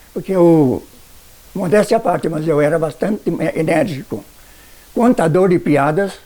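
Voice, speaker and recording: Old guy in his 80s, southern brazil accent, ex teacher telling his story, really slow and mellow. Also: high voice. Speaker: retired schoolteacher. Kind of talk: personal recollection for a documentary.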